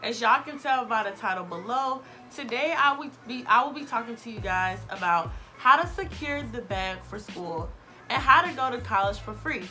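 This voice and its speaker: A woman's voice: on the high side, animated, normal speed, pushed and bright, faint music behind. A young woman vlogging to camera from her bedroom, chatty and encouraging, slightly sniffly while getting over a cold. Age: young adult. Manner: chatty, encouraging, addresses viewers as you guys. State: recovering from illness, sniffly. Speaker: vlogger, college student. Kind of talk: casual advice vlog to camera. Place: bedroom, sitting on a bed.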